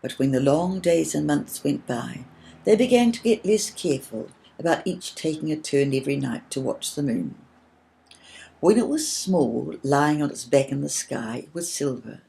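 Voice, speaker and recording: A woman narrating a children's story from a script in a gentle, expressive, measured way. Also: low voice, gravelly, low-quality recording.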